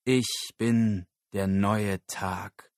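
A man's voice: fairly low pitch, fairly even intonation, very slow, dry recording, clean studio sound. A man voicing a grandiose messianic male guru who speaks in solemn, declamatory proclamations, in an audiobook story. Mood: triumphant, proclaiming.

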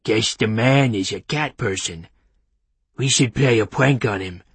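A man's voice: on the low side, measured pace, fairly bright tone, slightly rough, no room sound, exaggerated voice. A man voicing a playful, mischievous pet dog in an audiobook, with a cheeky, conspiratorial manner.

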